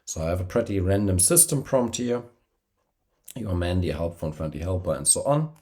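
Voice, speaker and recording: A man with a slight cold, hosting a screen-recorded software tutorial while traveling. He talks with many fillers and self-corrections, using a poor travel microphone. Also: low voice, expressive, normal speed. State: has a cold, slightly congested. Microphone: poor-quality travel microphone.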